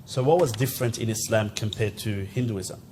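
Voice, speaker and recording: A man interviewing a guest, asking calm, direct questions. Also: lowish voice, normal speed, background noise.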